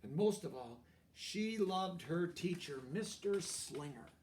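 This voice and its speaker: A man with a notably high voice reads a children's storybook aloud. He is animated and expressive, stopping to explain words and pictures to young listeners. The microphone sits some way from him. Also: slow pace, far from the mic, a little hiss or hum. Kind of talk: expressive read-aloud narration with asides.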